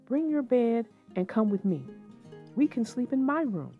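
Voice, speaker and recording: Storybook turtle child, voiced by a female narrator: kind, eager, raised animated pitch. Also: measured pace. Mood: concerned. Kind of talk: children's storybook character reading. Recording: dull lo-fi sound, guitar music.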